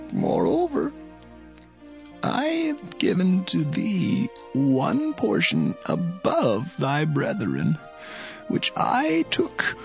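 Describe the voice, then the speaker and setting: An aged, dying patriarch in a scripture drama. The voice is gravelly and slow, swinging widely in pitch as he solemnly declaims like a father and prophet, with music underneath. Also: full character voice. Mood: weary, grave.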